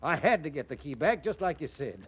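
An actor playing a defiant, evasive murder suspect with a husky voice in a 1940s radio drama. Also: male voice, fairly high pitch, very animated, brisk, fairly bright tone, exaggerated voice. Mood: bitter, confessing. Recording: dull 1940s radio recording.

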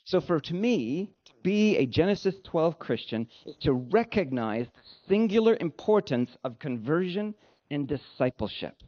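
Man, pastor, sermon delivery, very varied intonation, emphatic and deliberate, slightly muffled lo-fi recording. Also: fairly high pitch, big pitch swings. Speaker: pastor preaching.

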